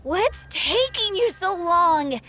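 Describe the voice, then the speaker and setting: A woman's voice: extremely high pitch, expressive, slow pace, sharp tone, faint music behind, heavily acted. A woman voicing an eager, innocent little boy in a video game, childlike and trusting. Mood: impatient.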